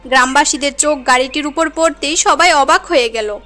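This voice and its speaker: A woman's voice: sits high, a little fast, bright, forceful tone, soft background music. A woman narrating a moral cartoon tale in an earnest, dramatic storytelling manner.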